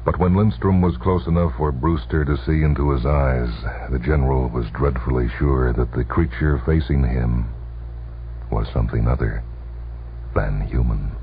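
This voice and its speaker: A man narrating a story for radio in a deliberate, dramatic manner with weighty pauses. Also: a bass voice, a little hiss or hum. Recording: dull lo-fi old radio recording. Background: music.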